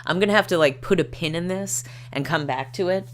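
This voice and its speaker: A woman's voice: fairly low pitch, a little fast. A middle-aged woman hosting a casual livestream, reading articles aloud and commenting in a chatty, digressive way with wry asides. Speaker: livestream host.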